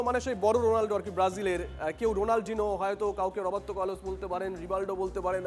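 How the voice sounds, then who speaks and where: A man hosting a live TV news debate, speaking emphatically in short phrases. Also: extremely high pitch. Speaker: news panel host. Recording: long hall reverb, music underneath.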